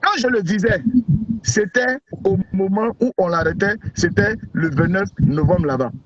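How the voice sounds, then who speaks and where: Guest, male, high voice, blunt and repetitive, lo-fi noisy call line. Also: moderate pace. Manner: emphatic, repetitive, outspoken. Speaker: interview guest, blogger. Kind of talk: casual interview by call. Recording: dull lo-fi call audio with noise.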